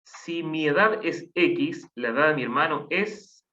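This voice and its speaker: A man's voice: fairly high pitch, animated, a little slow, pushed and bright. A man teaching a math class online, patiently counting aloud and asking the class questions. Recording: dull lo-fi online class audio.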